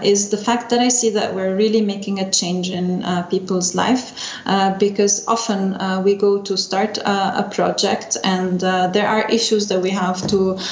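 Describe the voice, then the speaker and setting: A woman's voice: medium pitch, a little mellow. A roomy, slightly muffled recording of a middle-aged woman from Lebanon. She answers interview questions off the cuff, hesitating and feeling for words, over faint noise. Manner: hesitant, searching for words with frequent pauses. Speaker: interview guest, energy division chief.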